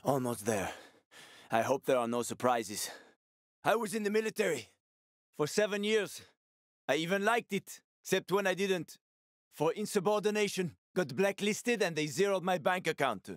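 A man's voice: high voice, lively intonation, normal speed, no echo, studio quality. A male actor voicing a wry, streetwise survivor guide and ex-soldier in a video game, with colloquial, foul-mouthed talk. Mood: reminiscing. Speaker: video game companion character.

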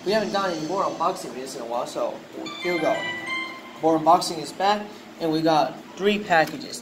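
Guy with a kinda high voice doing a casual unboxing on his phone, excited, noisy room. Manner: excited, thinking aloud. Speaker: unboxing video host. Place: indoors, tiled floor room. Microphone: handheld phone camera.

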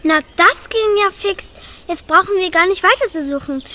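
A woman's voice: extremely high pitch, pushed and bright, a little hiss or hum. A woman voicing a cheeky young detective helper in a children's radio play. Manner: cheeky, brisk.

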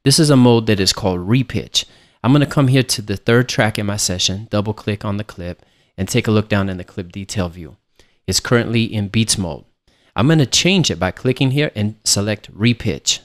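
A man narrating a software tutorial as an instructor, explaining things in a casual way. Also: fairly low pitch, normal speed. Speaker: music production instructor.

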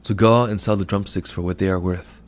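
A man's voice: sits low, steady tone, fast, lightly acted. A man voicing a poor, weary village man of about fifty who speaks meekly and pleads. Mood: complaining. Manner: meek, pleading.

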